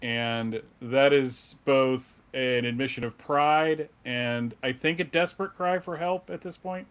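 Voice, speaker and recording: A man's voice: mid-range voice, a little slow, fairly bright tone, smooth. A man around fifty, a podcaster on a panel, talking casually in a wry, self-deprecating way with stammered starts. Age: 50s.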